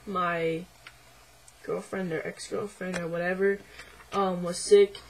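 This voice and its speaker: A young man speaks into his camera at home, voice in the middle of deepening and not quite settled, pitched in the middle and fairly flat. He is hesitant and reflective, filling pauses with ums and likes. Faint noise hums behind him. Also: vocal fry. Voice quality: voice midway through deepening, a bit unsettled. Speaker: vlogger. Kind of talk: casual personal video diary.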